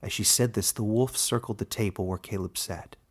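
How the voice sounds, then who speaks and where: A man's voice: fairly low pitch, steady tone, fairly quick, slightly rough. A man reading a scripted fantasy story as its narrator, in a dramatic storytelling style. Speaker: author and narrator.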